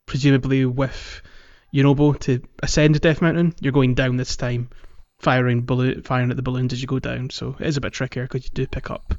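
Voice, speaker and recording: Man, mid-pitched, casual gaming podcast host, halting phrasing, echoey room. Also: little pitch movement, darkish tone. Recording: roomy echo, slightly dull sound.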